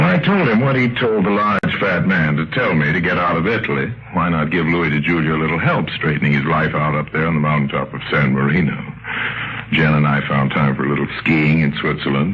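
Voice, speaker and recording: A man's voice: extremely low pitch, a little hiss or hum. A man playing a worldly, wry adventurer who narrates his own tale in a 1950s radio drama. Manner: wry storytelling. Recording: muffled vintage radio recording, music behind.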